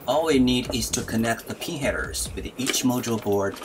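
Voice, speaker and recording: A man's voice: mid-range voice, moderate pace, noisy background. A man giving scripted tutorial narration as a maker presenting an electronics build. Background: background music.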